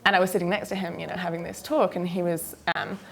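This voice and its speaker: A young woman answers an interviewer's questions, tired from a long trip and circling her words with fillers. Her voice sits low and carries a gravelly fry. She talks haltingly, piling up "like" and "um". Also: little pitch movement. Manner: hesitant, many fillers and "like". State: tired from travel. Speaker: filmmaker guest.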